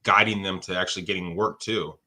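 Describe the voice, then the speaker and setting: A man's voice: low-pitched, fairly even intonation, a little fast, sharp tone. A man hosting a podcast, asking hesitant, searching questions with many restarts. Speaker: podcast host. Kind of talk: podcast interview.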